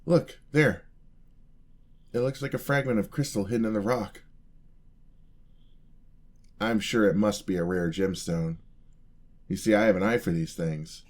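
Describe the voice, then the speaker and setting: Man playing a sweet, slow-spoken young paladin. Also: fairly low pitch, natural voice. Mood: eager. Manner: polite, earnest, gently encouraging. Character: sweet, clumsy, eager young male paladin apprentice. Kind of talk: fantasy ASMR roleplay, speaking to the listener.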